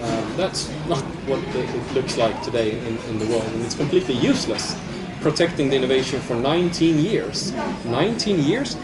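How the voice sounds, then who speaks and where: A man's voice: mid-range voice, expressive, moderate pace, over a noisy crowd. A man with a Swedish accent, speaking hesitantly with many restarts in a live panel discussion. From southern Sweden. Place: large public hall.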